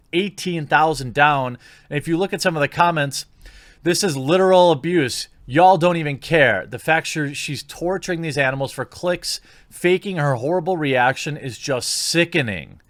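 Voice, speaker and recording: A man's voice: a little high, moderate pace, a bit bright, no room sound. A man giving casual, opinionated online commentary in a critical, sarcastic manner, recorded on a close mic. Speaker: YouTube commentator.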